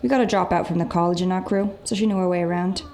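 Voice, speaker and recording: Woman acting thief crew leader, low mellow voice, matter of fact, fantasy audio drama. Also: fairly even intonation, fast talker, lightly acted. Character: brisk, candid female leader of a thieving crew.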